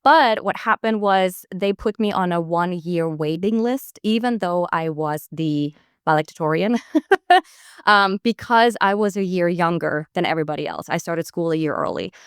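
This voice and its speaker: A woman in her thirties, originally from Switzerland, tells her story as a podcast guest in an upbeat way that is often broken by laughter. Her voice is smooth, clear and pitched on the low side, recorded dry and close. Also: moderate pace, dry recording. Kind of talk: casual interview talk.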